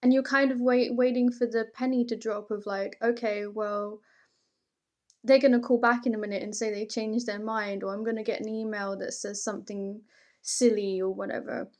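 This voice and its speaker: A woman's voice: medium pitch, flat delivery, normal speed, a little mellow. A woman reading tarot for the listener in an informal, conversational way, earnest, emphatic and reassuring. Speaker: tarot reader. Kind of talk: intimate, encouraging tarot reading addressed to the listener.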